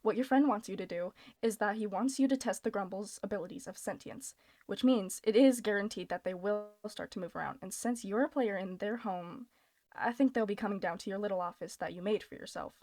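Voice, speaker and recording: A woman voicing a female radio guide who gives steady, soft-spoken night-shift instructions for an audition. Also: mid-range voice, a little fast, dry recording, natural voice. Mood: instructive. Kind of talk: voice-acting audition read.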